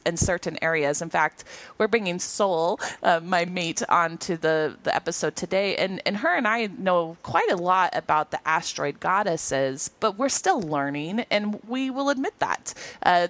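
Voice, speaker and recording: A woman co-hosting a podcast in a casual chat, with a nasal voice and a quick, rambling way of talking full of restarts. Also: lowish voice, a bit bright. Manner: quick, rambling, self-correcting talk with restarts. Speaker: podcast co-host.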